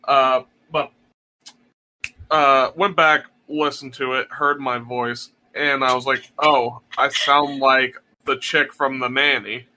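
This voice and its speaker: A young man with a nasal voice co-hosting a casual podcast chat, halting and blunt, with many fillers. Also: medium pitch, steady tone, bright, forceful tone, a little hiss or hum, poor audio. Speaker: podcast co-host.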